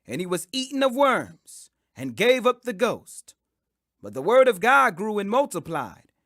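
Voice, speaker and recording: A man's voice: high-pitched, sing-song, a little slow, pushed and bright, no echo, clean studio sound. A man reading scripture as an audiobook narration, in a solemn, declamatory, phrase-by-phrase delivery. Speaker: Bible reader.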